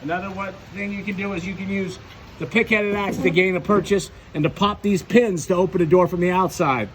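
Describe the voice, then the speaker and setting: A man's voice: high voice, steady tone, brisk, a little hiss or hum. A man giving an unscripted, hands-on demonstration as a firefighting instructor, often repeating and restarting his phrases as he explains.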